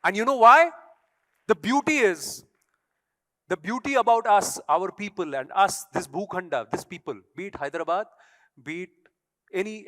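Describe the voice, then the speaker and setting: Emphatic Indian man, high voice in an echoing hall. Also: fairly bright tone. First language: Tamil. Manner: emphatic, rhetorical, challenging the audience. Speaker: speaker. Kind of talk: motivational stage speech. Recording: echoey hall.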